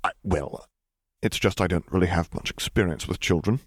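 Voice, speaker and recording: A man's voice: low-pitched, normal speed, no echo, clean studio sound. A man voicing a polite, hesitant older admiral with a formal, flustered manner in a story reading. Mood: awkward.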